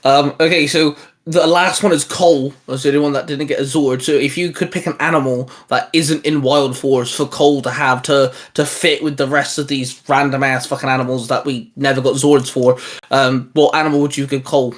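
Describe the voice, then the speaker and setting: A man's voice: medium pitch, little pitch movement, fairly quick, hardly any pauses. A man co-hosting in a casual, geeky chat, talking in an enthusiastic, informal way. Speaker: co-host of a hobby video channel.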